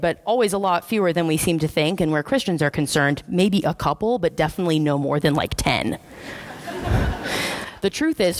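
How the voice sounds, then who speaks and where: Woman preacher, lower register, casual and self-mocking sermon delivery. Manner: conversational, wry, self-deprecating. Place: church sanctuary.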